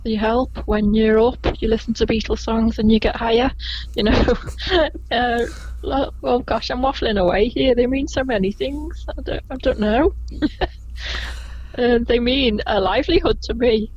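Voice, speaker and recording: Chatty Liverpool woman, gentle Scouse. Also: on the high side, steady tone, normal speed, slightly rough, dull audio. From Liverpool, England. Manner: chatty, laughs often, rambling asides. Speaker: Beatles tour guide, podcast guest. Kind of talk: casual podcast interview.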